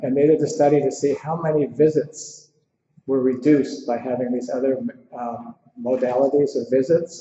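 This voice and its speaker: American man, lecture Q&A, very mellow tone, hesitant conversational delivery, dull echoey recording. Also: medium pitch. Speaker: guest lecturer answering audience questions. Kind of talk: informal lecture Q&A. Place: indoor lecture room with audience. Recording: muffled, echoey lecture hall with background noise.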